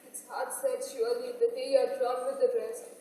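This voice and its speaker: A woman's voice: sits high, lively intonation, fairly quick, warm tone. A woman playing a grieving, pleading old island mother in a stage play. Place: stage in a hall, distant microphone. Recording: echoey hall, far mic, noisy.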